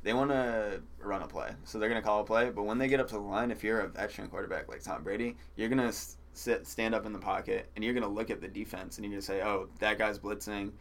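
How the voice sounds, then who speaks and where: A young man chatting casually on a podcast, soft-spoken and patient as he explains, laughing often. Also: fairly low pitch, fairly even intonation, fast, few pauses. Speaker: comedian guest.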